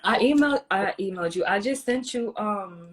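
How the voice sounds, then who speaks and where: A woman's voice: medium pitch, expressive, moderate pace. Rambling woman on a call, faint hiss. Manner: rambling, many fillers and restarts. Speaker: guest on a live stream. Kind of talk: casual chat.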